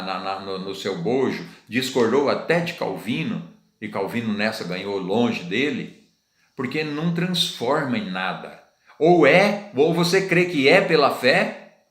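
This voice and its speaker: Brazilian male preacher, middle-aged, mid-pitched, casual teaching sermon with rhetorical questions, roomy echo. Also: a bit bright. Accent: Brazilian Portuguese. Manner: conversational, repeats phrases, asks listeners rhetorical questions.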